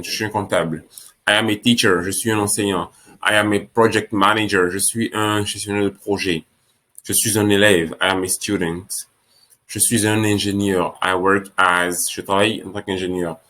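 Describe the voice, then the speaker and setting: Slow, low-voiced male English teacher. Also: fairly bright tone. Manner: slow, repeats each phrase in French and English. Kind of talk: French-language English lesson.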